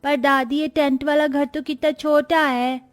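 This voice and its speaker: A woman's voice: sits high, flat delivery, unhurried, faint music behind. A woman voicing an innocent, childlike little granddaughter in a cartoon story. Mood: curious. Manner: childlike, pleading. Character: innocent pleading little granddaughter, small girl. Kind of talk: Hindi cartoon story acting.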